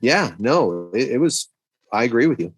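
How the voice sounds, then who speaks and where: A man's voice: mid-range voice, sing-song, moderate pace, husky, no echo. A man co-hosting a podcast, chatting casually and giving his opinions on films. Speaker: podcast co-host. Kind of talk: casual film discussion.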